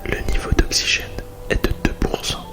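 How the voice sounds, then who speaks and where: A man playing a calm, methodical astronaut explorer in a whispered ASMR roleplay, giving slow, measured status reports. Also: close to an everyday voice. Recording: music behind the voice.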